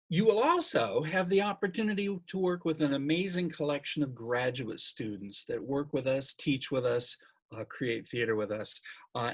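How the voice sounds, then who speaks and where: A man faculty member introducing graduate students on a video call. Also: medium pitch. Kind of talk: hosting introductions.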